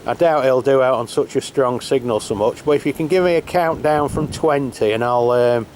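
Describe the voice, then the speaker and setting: A man's voice: mid-range voice, moderate pace, slight background noise. A man hosting a radio test, chatting casually and hesitantly outdoors in the rain, caught on a camera mic. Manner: relaxed, hesitant, many fillers. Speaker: channel host testing CB radios. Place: outdoors on a rainy hilltop. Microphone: camera mic while holding a handheld radio.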